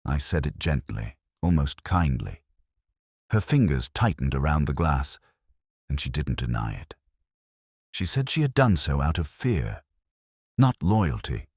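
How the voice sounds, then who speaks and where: A brooding, weary police inspector played by a man tells his case as an audio drama. His voice is extremely deep, with a lively melodic range. The delivery is solemn and literary, recorded close and dry. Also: unhurried, pauses often, breathy edge, dry recording, heavily acted. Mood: somber, reflective. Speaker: narrator of a detective audio drama. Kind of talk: scripted first-person mystery narration.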